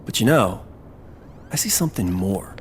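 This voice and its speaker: An actor playing a scheming, flattering businessman with a polished, persuasive delivery in an audio drama. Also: on the low side, normal speed, noisy background, lightly acted. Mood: grand salesmanship. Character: smooth, scheming businessman pitching grand plans, flattering.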